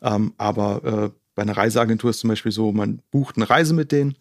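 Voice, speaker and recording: A man's voice: fairly low pitch, brisk, no echo, studio quality. A man from Germany explaining things in detail as an interview guest, often checking in with a short tag question. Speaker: conservation organisation co-founder, interview guest.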